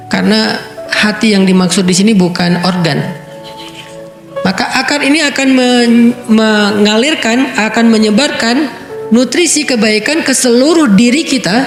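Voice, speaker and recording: A man giving a conversational Islamic sermon, explaining with rhetorical questions and Arabic recitation. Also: very high voice. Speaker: preacher. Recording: echoey room with faint music.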